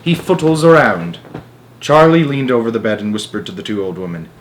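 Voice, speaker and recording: A man narrating a storybook aloud in an expressive, emphatic manner, with casual asides. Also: on the low side, fast talker, slight background noise, low-quality recording. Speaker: story narrator and channel host.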